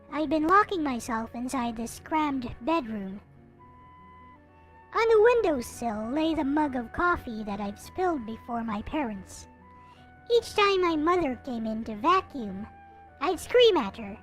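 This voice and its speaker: Despairing youthful visual novel narrator, read by a woman, high and swooping, deliberate and dramatic, over game music. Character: despairing young narrator losing eyesight, wistful and bitter. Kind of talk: reading visual novel text aloud.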